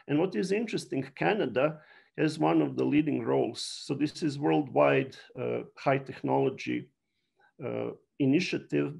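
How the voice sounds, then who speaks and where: A middle-aged man from Serbia with a Serbian accent, a native Serbian speaker, giving an explanatory online lecture with frequent halting pauses. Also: mid-range voice, vocal fry, a little mellow, husky. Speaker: university biology lecturer. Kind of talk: online lecture to a general audience.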